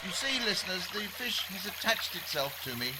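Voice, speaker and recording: An actor playing a stoical explorer who speaks formally and with understatement in a comic radio documentary. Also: male voice, sits high, very animated, normal speed, noisy background. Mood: polite, strained. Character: Peter, absurdly polite, stoical male jungle explorer. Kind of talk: mock radio documentary acting. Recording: very loud jungle and running water.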